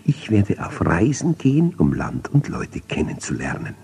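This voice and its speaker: Man as a husky, discontented little hen. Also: fairly low pitch, wildly swooping pitch, moderate pace, darkish tone, exaggerated voice. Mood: bored, restless.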